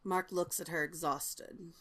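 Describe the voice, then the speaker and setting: A woman's voice: on the low side, fairly even intonation, a little slow, a bit bright. A woman reading stage directions as scripted narration for a table read.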